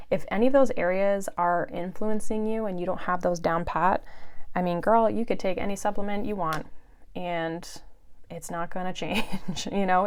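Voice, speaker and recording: A woman in her early thirties sits at the mic alone for a podcast episode, talking in a chatty, unscripted way. Her voice sits a little low for a woman, and she drops in lots of likes and you-knows. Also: clear, resonant tone. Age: 30s. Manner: chatty, frequent fillers. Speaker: podcast host. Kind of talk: casual monologue.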